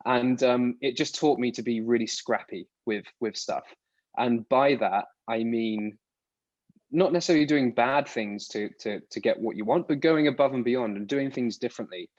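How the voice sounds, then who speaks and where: Man from the UK, 30s, chatty rambling guest, mid pitch, muffled lo-fi audio. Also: normal speed, darkish tone, no room sound. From United Kingdom. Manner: rambling, restarts words often. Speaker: podcast guest. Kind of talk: casual interview answers. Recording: dull lo-fi dry sound.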